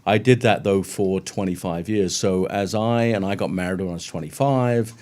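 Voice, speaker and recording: A middle-aged man with a London English accent, a guest chatting casually and warmly about his career in an interview. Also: low voice, moderate pace. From England. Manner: friendly, chatty, brief laughs.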